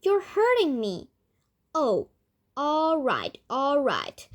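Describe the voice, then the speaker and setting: A woman's voice: high voice, big pitch swings, drawn-out words, exaggerated voice. A woman voicing a bossy, stingy old grandmother in a storybook, complaining and protesting. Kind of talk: children's storybook read aloud.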